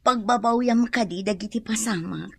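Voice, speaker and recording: A woman's voice: a little high, normal speed, creaky, slightly rough, no room sound. A woman playing a nosy, friendly neighbour and confidante in an Ilocano radio drama, with an Ilocano accent. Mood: inquisitive.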